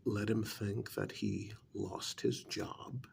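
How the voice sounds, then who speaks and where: A man playing a calm, calculating vampire clan member in a soft-spoken ASMR role-play, speaking in a measured, hushed way with deliberate pauses. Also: lowish voice, creaky, a little hiss or hum, exaggerated voice. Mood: calm, scheming.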